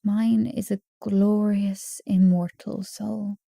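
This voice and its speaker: A woman giving an expressive poetry reading, with measured, earnest and dramatic phrasing. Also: medium pitch, creaky, no echo, studio quality. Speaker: poetry narrator.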